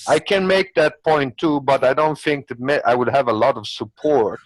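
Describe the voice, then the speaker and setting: A man's voice: mid-range voice, moderate pace, dry recording, poor audio. A man who is not a native English speaker, arguing his opinions in an unscripted discussion in a rambling, repetitive way. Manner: rambling, repetitive argument with hypothetical examples. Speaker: panelist.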